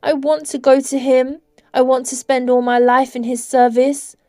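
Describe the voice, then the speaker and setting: A woman's voice: high-pitched, little pitch movement, normal speed, mellow, dry recording, clean studio sound, voice pushed up, close to an everyday voice. A woman voicing an anguished, devoted young woman under a spell in a storybook, faltering and pleading. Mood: despairing.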